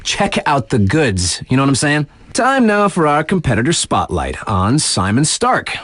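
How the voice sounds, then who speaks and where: A man's voice: on the high side, huge pitch swings, normal speed, fairly bright tone, no echo, full character voice. A man playing a hip, laid-back radio DJ in a video game, giving casual, slangy sports patter with playful conversational asides. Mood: hyped, admiring. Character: hip, laid-back male radio DJ covering snowboard races.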